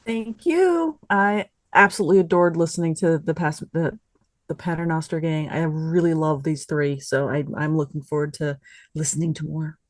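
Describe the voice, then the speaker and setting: A woman's voice: deep voice, normal speed, dry recording. A woman speaking casually and informally as a podcast panelist. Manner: informal, unscripted. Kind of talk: casual chat.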